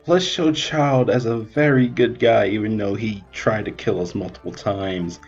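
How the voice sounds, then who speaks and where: A man's voice: lowish voice, steady tone, unhurried, darkish tone, husky, highs cut off, faint music behind. A young man giving casual, wry and sarcastic reaction commentary as he plays a video game. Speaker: gaming video creator.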